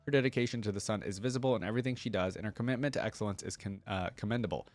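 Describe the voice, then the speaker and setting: A man's voice: on the low side, racing, soft background music. A man giving casual commentary and reading game lore aloud, explaining things and often checking in with the listener. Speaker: reaction video host.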